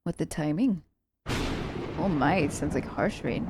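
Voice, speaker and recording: A woman's voice: sits low, expressive, a little slow, very noisy. A woman playing a sly, commanding assassin who teases her captive werewolf in an ASMR roleplay, with a haughty, teasing manner. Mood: teasing, relaxed.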